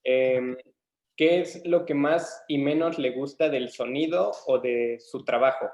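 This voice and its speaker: A young man moderating an informal interview, asking questions in a hesitant, halting way. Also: medium pitch, darkish tone. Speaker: student moderator relaying audience questions. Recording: dull, echoey webinar audio.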